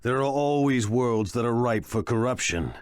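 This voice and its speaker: An actor playing a proud, ruthless crime lord in a video game, with an imperious, deliberate, menacing delivery. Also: male voice, mid-range voice, expressive, smooth, dry recording, studio quality, close to an everyday voice. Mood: scheming. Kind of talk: dramatic video game acting.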